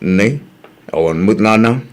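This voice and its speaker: A man's voice: on the low side, lively intonation, slow pace. A man with an Ilocano Filipino accent playing a stubborn, lovelorn, hard-drinking son in a radio comedy drama. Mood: sullen, defensive.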